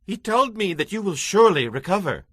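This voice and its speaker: A man playing an excitable royal servant in a dramatized audio Bible, exclaiming theatrically. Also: sits high, a little fast, no room sound, exaggerated voice. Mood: deferential. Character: excitable male royal servants and officers, deferential.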